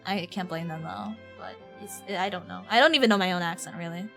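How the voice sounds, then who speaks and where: A woman's voice: on the low side, a little fast, soft background music. A woman from Ireland with a neutral, North American-sounding accent, chatting casually and slangily on a rambling, giggly game stream. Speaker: live streamer. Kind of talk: casual gaming stream chat.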